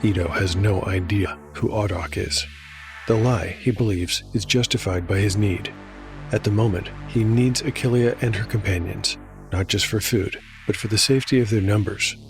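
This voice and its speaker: Male narrator-GM, low voice, measured grave delivery, music underneath. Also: vocal fry. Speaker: host and solo game master. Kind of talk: dramatic fantasy narration with dice commentary.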